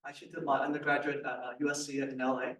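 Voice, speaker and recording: A man from Hong Kong, a student competitor, introducing himself in a relaxed, slightly halting way. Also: medium pitch. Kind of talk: self-introduction. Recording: echoey room, distant mic.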